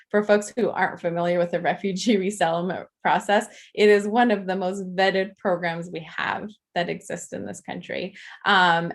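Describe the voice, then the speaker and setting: A young woman gives an informal talk to a meeting, her fairly low voice carrying a gravelly fry. She speaks earnestly and keeps falling back on ums and small restarts as she goes. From Afghanistan. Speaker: nonprofit advocate speaking to a political club.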